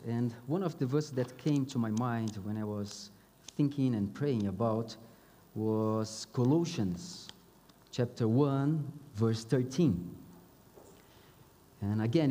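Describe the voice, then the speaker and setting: A man from Brazil, a native Portuguese speaker with a mixed Brazilian, Mozambican and South African accent, preaching a sermon to a church in a halting, earnest way. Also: mid-range voice, mellow. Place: church hall, speaking to congregation. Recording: long echoing hall reverb.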